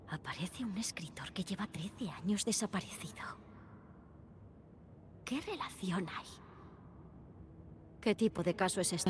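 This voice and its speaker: An actress with a Castilian Spanish accent playing a focused, skeptical female investigator in a dubbed video game, serious and measured. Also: fairly low pitch, noisy background, natural voice. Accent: Spanish (Spain). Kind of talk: dramatic video game dubbing.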